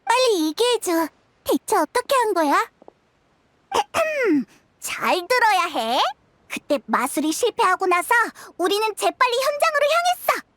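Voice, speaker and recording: Squeaky show-off fairy sidekick, super high, bragging fast. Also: female voice, no room sound, exaggerated voice. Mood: boastful. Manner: bragging, excitable, quick. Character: boastful little female fairy companion, chatty.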